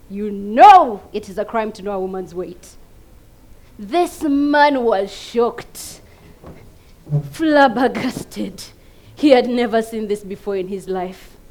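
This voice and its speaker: A Ugandan woman performing a theatrical comic monologue, emphatic and dramatic, swinging between hushed and loud delivery. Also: fairly high pitch. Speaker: competition contestant performing a monologue. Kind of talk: theatrical comedic stage monologue.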